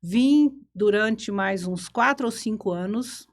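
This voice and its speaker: Older Brazilian woman, mid-pitched podcast guest. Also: takes it slowly. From Minas Gerais, Brazil. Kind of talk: casual storytelling about own life.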